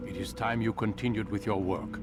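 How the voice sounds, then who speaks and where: An actor playing a commanding, calculating mentor of medieval assassins in a video game, speaking in a measured, formal way. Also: male voice, fairly low pitch, steady tone, natural voice. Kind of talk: video game drama acting. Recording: loud game music under the voice. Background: video game music.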